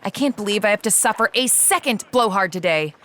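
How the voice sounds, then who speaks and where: An actress playing an irritated, menacing, sharp-tongued museum worker in an audio drama, with a scornful manner. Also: female voice, medium pitch, animated, fairly quick, slight background noise, close to an everyday voice. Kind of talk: audio drama character acting.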